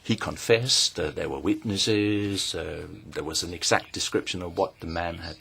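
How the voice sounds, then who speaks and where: A man giving measured, formal testimony to a committee, pausing often and hesitating between phrases. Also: deep voice, gravelly, fairly bright tone, husky. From Norway. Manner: measured, deliberate, with frequent pauses and hesitations. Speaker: invited speaker at a parliamentary hearing.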